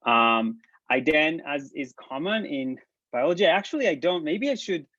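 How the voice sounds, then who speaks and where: A man from Israel with an Israeli accent, giving a hesitant, halting talk full of restarts on a video call. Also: on the high side, big pitch swings, a bit bright, dry recording. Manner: halting, many restarts and ums. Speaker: presenter. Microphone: laptop mic on video call. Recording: dull lo-fi video call audio.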